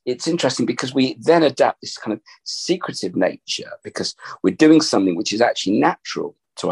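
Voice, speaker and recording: A middle-aged man hosting a podcast with a gravelly voice, talking in a thoughtful, rambling way from an upstairs room at home. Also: fairly low pitch, a little mellow, rough recording. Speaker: podcast host. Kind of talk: casual reflective chat.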